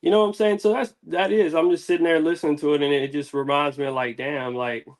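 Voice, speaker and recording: A man's voice: on the high side, steady tone, quick pace. A man, a musician guest, talking casually and reflectively in slangy, candid, rambling interview answers.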